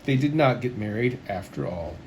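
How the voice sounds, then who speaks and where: A man hosting a storytime, reading a picture book aloud in an expressive storytelling style. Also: mid-range voice, normal speed, highs cut off, a little hiss or hum. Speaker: storyteller, host.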